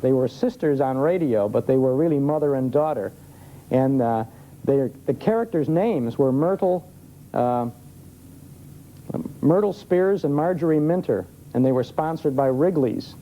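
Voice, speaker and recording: A man chatting informally as a studio guest expert, answering questions in a halting, explanatory way. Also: medium pitch, lively intonation, dark tone. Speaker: talk show guest, old-time radio expert. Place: television studio. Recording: dull noisy TV studio tape.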